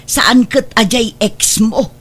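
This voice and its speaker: A woman's voice: on the high side, slow pace, slightly rough. A woman acting in a radio drama as a worried, middle-aged mother who moralizes to her married daughter. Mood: scolding, pleading.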